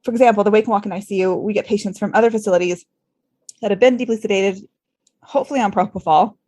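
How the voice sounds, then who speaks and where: A woman's voice: medium pitch, steady tone, fast, warm tone. An American woman hosting a conversational medical interview, speaking in an informal and earnest way. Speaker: podcast host and ICU clinician.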